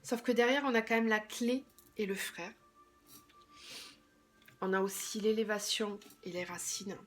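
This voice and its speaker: A woman with a slightly hoarse voice does a tarot reading at a mid pitch, neither high nor low. She thinks aloud as she goes, hesitant and unhurried, with her sentences often sinking to a softer, quieter voice. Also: faint music behind. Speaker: tarot card reader.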